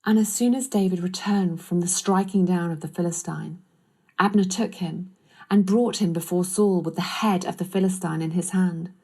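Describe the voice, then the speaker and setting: A woman with a fairly low voice reads as the narrator of a scripture story. Her delivery is scripted storytelling. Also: fairly even intonation, normal speed, darkish tone, slightly rough.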